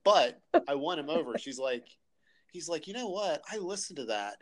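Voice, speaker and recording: A man's voice: a little high, sing-song, normal speed. Easygoing American man hosting a podcast. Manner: easygoing, laughs often, uses many likes. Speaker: podcast host. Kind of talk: casual interview chat.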